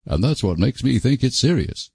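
A man's voice: medium pitch, very animated, normal speed, vocal fry, dark tone, slightly rough, dry recording, studio quality, voice pushed up, heavily acted. A man voicing a solemn, plain-spoken country man in a storybook, with a dour, folksy manner. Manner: folksy, dour rustic talk. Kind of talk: audiobook character voice.

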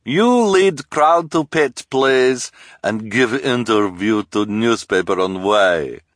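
A man's voice: lowish voice, slow, no echo, clean studio sound, pitched above the performer's own voice. A man voicing a fake fortune-teller woman who speaks broken English in a put-on foreign accent, fawning over her boss.

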